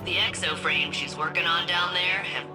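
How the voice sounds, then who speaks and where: A woman's voice: on the low side, steady tone, moderate pace, over music, natural voice. A woman voicing a chipper, quippy AI helper in a video game, playful and chatty. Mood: playful, helpful.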